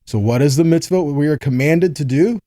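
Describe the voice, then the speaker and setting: A man's voice: a little high, a little fast, dark tone, dry recording, clean studio sound. A man giving a conversational Bible teaching, reading verses aloud and explaining them earnestly, often checking in with short rhetorical questions.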